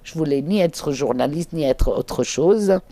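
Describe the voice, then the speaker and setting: A middle-aged woman, Finnish her first language, speaking as an interview guest, reflective and halting with many fillers. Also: low voice, animated, warm tone, no room sound. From England. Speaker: interview guest, documentary filmmaker.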